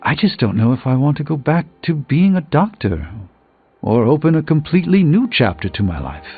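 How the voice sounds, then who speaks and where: Pompous sorcerer hero, thin phone-like sound. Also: male voice, a little high, sing-song, moderate pace, breathy edge, exaggerated voice. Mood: reflective. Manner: pompous, theatrical, articulate. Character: proud, erudite sorcerer hero, adult man. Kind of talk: video game character dialogue. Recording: phone-like band-limited sound under music. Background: music.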